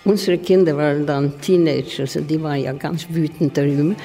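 Highish soft dark-toned guy, halting but emphatic memories, reverby with music under. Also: steady tone. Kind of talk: reminiscing in an interview.

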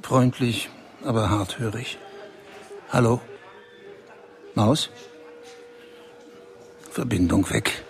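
An actor playing a self-important German banker in a radio play, bossy and clipped. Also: male voice, on the low side, extremely slow, vocal fry, pauses often, heavily acted. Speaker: banker at a summit.

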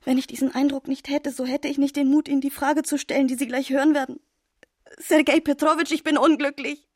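An actress plays a proud young gentlewoman, wounded and pleading, in a literary radio play. She speaks formal, earnest in a raised register. The sound is dry and close. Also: high-pitched, flat delivery, fairly quick, no echo, natural voice. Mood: desperate pleading.

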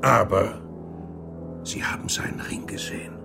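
An actor playing a defiant religious reformer in a German radio play, biting, sarcastic and deliberate. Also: male voice, over music, natural voice. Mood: measured, accusing. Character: defiant, sardonic religious reformer confronting his accuser.

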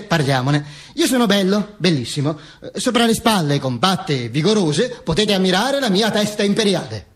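High-voiced, pompous dead dictator. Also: male voice, slightly rough, full character voice. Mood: boastful. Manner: pompous, halting, with stammered repetitions and asides. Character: vain dead dictator narrating from beyond the grave. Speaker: stage actor performing a monologue. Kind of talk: theatrical autobiographical monologue.

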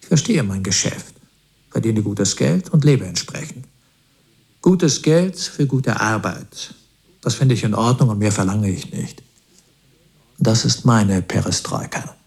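An actor playing a smug, ruthless rock-business boss in a radio play, boastful, self-assured and cynical. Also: male voice, lowish voice, expressive, measured pace, gravelly, pauses often, a little mellow. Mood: proud, brisk.

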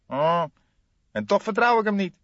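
An excitable young police detective in a crime audiobook. The voice is pushed high and loud, impulsive and full of suspicion, in a close, dry, slightly dull recording. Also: male voice, normal speed, no echo. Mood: agitated, suspicious. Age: young adult. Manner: impulsive, exclamatory, questioning. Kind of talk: audiobook character dialogue.

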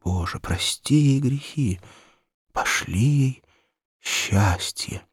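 A devout young boy's inner voice as a grown man reads it, soft and reverent. The delivery is unhurried and earnest, with a slightly hoarse edge. It is a dry storybook recording. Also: mid-range voice, animated, no room sound, voice pushed up, heavily acted. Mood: reverent, wondering. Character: devout young boy wondering in earnest silent prayer.

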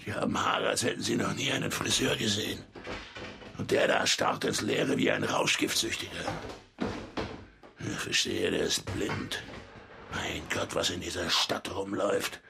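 A man acting a menacing, bullying gangster boss in a radio drama, gravelly and sneering, with a barking, explosive delivery. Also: fairly low pitch, moderate pace, background noise. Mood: contemptuous, irritated.

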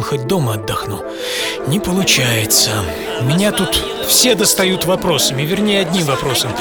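Man voicing weary solitary mountaineer, radio drama monologue, wry and philosophical, breathy asides, wide pitch swings, music bed. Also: close to an everyday voice. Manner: halting, breathy, drifting between whisper and voice. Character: exhausted, hallucinating solitary male mountaineer, wryly philosophical. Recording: music under the voice.

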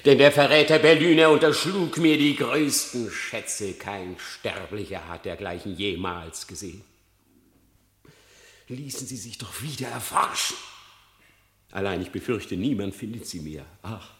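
A man's voice: fairly low pitch, unhurried, fairly bright tone, reverberant. An actor playing a cunning male fox on trial in a radio play, pleading and then defiant. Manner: pleading, wheedling, rising to bold defiance.

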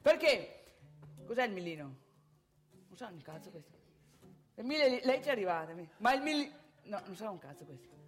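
A woman's voice: medium pitch, very animated, a little fast, pauses often, faint music behind, close to an everyday voice. An actress plays a cocky, streetwise young man from the provinces. He has a northeastern Italian accent and talks bluntly in slang, as in comic theatre addressed to a live house. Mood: brash, rowdy. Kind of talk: comic theatrical monologue and dialogue.

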